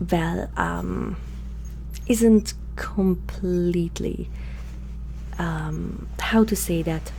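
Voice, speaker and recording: A woman playing a curious, awkward alien scientist in human form, speaking hesitantly and softly to the listener in an ASMR roleplay. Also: fairly low pitch, vocal fry, exaggerated voice. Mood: matter-of-fact, awkward. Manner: hesitant, halting, softly spoken, sometimes whispering. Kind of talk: ASMR roleplay, one-sided dialogue to the listener. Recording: faint background hum and noise.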